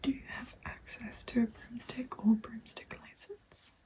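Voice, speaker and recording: A woman whispers a gentle, chatty ASMR roleplay in a reassuring, breathy voice, close to the microphone. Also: on the high side, steady tone, measured pace. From England. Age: 20s. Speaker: ASMR creator playing a recruitment agent. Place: small dim room at home. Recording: constant background noise with keyboard typing. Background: keyboard typing and clicks.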